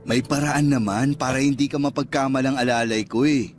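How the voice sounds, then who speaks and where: A man's voice: medium pitch, fairly even intonation, a little fast, faint music behind, natural voice. A man playing a cocky, smitten rich restaurant owner with a Filipino accent, flirtatious and self-assured in a radio romance drama. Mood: cocky, flirting.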